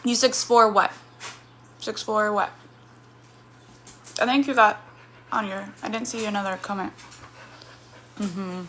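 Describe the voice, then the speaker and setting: Chatty woman streamer with a bright voice. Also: medium pitch, fairly even intonation, slow pace, long pauses. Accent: Missouri. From Missouri, USA. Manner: chatty, rambling, reads and answers comments. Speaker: livestreamer. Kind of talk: casual chat with live chat.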